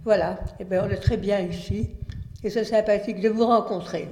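A woman's voice: medium pitch, steady tone, fairly quick, a little mellow, slight background noise. An older woman introducing herself as a resident, friendly and upbeat. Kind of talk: self-introduction.

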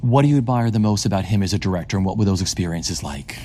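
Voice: expressive, rapid-fire, a little hiss or hum. An interviewer asking prepared questions about an actress's films and encouraging her answers. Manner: warm and encouraging, reads out career highlights.

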